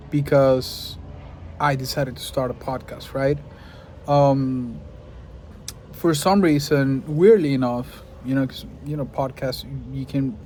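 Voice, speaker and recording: A man's voice: medium pitch, a little mellow, slight background noise. A man, a Spanish speaker, musing thoughtfully in a casual monologue to camera and often searching for his words. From Venezuela. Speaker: podcaster and video creator.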